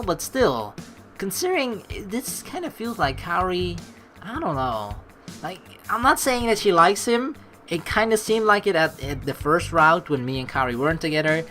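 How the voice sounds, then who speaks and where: A young woman doing a let's play, switching between rambling casual commentary and expressive read-aloud narration of a game. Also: fairly low pitch. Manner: rambling, colloquial, playfully expressive. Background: faint game music.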